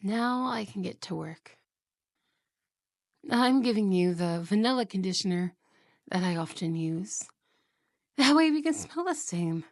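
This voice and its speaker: A woman voicing a possessive, jealous young wife in an ASMR roleplay, talking softly and slowly in whispers, sweet on the surface and menacing underneath. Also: mid-range voice, lively intonation, no room sound, clean studio sound. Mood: sweetly possessive. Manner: soft, slow, whispery, with giggles.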